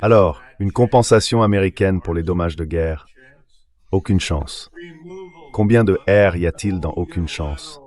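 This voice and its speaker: A man's voice: sits low, measured pace, darkish tone. An AI-generated male voice reading a translated voice-over of a guest's political commentary in an interview.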